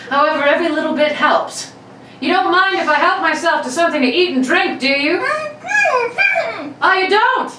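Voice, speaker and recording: A woman's voice: sits high, fairly bright tone, full character voice. A woman playing a cocky male burglar of about thirty in an old-time radio play reading, gloating and mock-courteous. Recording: roomy, distant mic, background noise.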